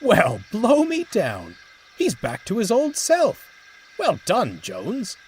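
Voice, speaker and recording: A man voicing a hearty, cheerful male engine driver with a booming manner. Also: wildly swooping pitch, normal speed, mellow, slight background noise. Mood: delighted. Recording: music playing behind.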